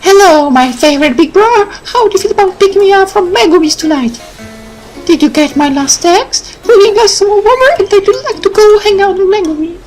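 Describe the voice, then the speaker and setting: A man's voice: very high voice, lively intonation, brisk, voice pushed up, poor audio. A man voicing a moody teenage little sister in a whiny, pestering way, with music behind. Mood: pleading.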